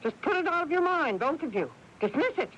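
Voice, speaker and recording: A woman's voice: high-pitched, sing-song, a little fast, fairly bright tone. An actress playing an imperious, possessive older mother, indignant and emphatic in a radio drama. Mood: agitated, dismissive. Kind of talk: 1980s radio drama acting. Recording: noisy, muffled old radio broadcast.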